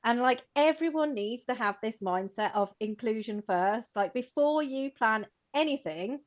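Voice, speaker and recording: A woman co-hosting an informal chat, talking in a chatty, animated way with lots of "like" and "you know" and laughing as she speaks. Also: on the high side, measured pace, lo-fi sound. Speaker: co-host, co-owner of a computing education company.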